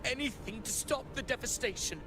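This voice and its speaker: A man's voice: very high-pitched, brisk. An actor playing an anguished young man succumbing to a grandiose mad king's fate in a video game, with dramatic, solemn, theatrical delivery. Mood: anguished, pleading. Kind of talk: video game cutscene acting. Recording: loud music over the voice. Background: loud game soundtrack.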